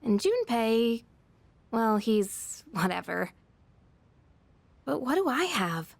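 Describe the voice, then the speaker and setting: High, expressive teenage schoolgirl. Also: takes it slowly, vocal fry, dry recording, full character voice. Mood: self-doubting. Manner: casual, expressive. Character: teenage schoolgirl, friendly, candid, self-doubting. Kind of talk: anime-style video game voice acting.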